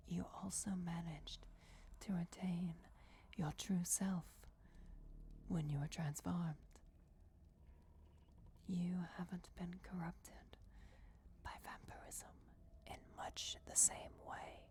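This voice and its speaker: Woman as a slow, whispering vampire goddess. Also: on the low side, warm tone. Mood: solemn, intimate. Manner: solemn, deliberate, regal. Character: ancient vampire goddess, commanding, softly menacing, bestowing destiny. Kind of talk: whispered fantasy ASMR roleplay.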